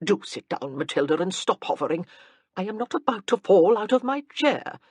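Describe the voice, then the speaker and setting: A woman reads a stern, elderly grandmother of high rank who judges everyone bluntly and gives orders. The storybook voice rises and falls a lot and sounds a little husky, recorded dry and close. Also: big pitch swings, normal speed, a little mellow, dry recording. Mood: imperious. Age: older. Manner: imperious, cutting.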